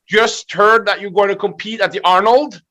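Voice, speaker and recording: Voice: medium pitch, fairly even intonation, normal speed, pushed and bright. A man hosting a conversational video interview, asking questions and reacting with short interjections. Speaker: interview host.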